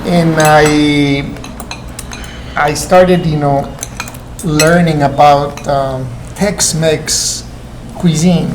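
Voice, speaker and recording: A man's voice: a little high, fairly even intonation, drawn-out words, long pauses. Mexican-accented man chatting in a restaurant. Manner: hesitant, many fillers. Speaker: chef and restaurant co-owner. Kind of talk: casual interview chat. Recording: echoey room with background noise.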